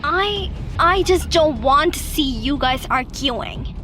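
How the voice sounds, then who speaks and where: A woman voicing a shy, hesitant high school girl who tries to keep the peace in an animated story. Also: takes it slowly. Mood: timid, pleading. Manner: hesitant, faltering.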